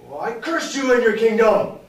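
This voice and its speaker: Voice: mid-range voice, animated, moderate pace, natural voice. A performer playing a doting, melodramatic teenage devil grandson in a comic stage play, earnest and exaggerated. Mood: vengeful. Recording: noisy echoey room, distant mic.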